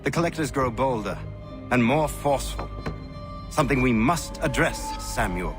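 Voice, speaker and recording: A man's voice: medium pitch, animated, normal speed, music in the background, close to an everyday voice. An actor playing a serious, plainspoken colonial tradesman in a game. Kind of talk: dramatic game cutscene acting.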